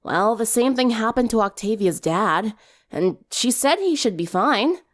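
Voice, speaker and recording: A woman's voice: fairly high pitch, animated, unhurried, pitched above the performer's own voice. Woman voicing a defensive, lovestruck teenage girl. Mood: firm, exasperated.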